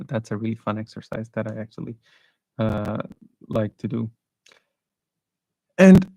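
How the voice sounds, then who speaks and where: Young guy on a live stream rambling through a travel story, mid-pitch voice, dry close sound. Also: a little mellow, no echo, poor audio. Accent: neutral international English, worked on. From South Asia. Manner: rambling, many restarts and fillers. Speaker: channel host.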